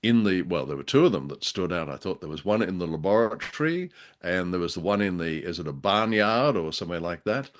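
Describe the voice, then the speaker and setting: Droll, low-voiced older man chatting. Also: lively intonation, fairly quick. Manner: droll, hesitant, wordy. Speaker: podcast co-host. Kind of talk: casual chat about old radio drama.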